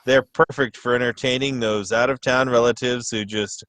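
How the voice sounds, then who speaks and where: A man playing a radio announcer, delivering a parody commercial as a polished, deadpan sales pitch. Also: normal speed, dry recording, lightly acted. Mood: earnest selling. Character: smooth-selling announcer of a parody cafe ad.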